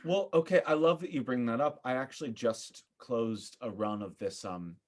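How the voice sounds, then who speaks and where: Male podcast guest, mid-range voice, reflective, stop-and-start conversational delivery. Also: mid-range voice. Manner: thoughtful, halting, repeats words as he searches for phrasing. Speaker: podcast guest, theater maker. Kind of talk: conversational interview talk about theater.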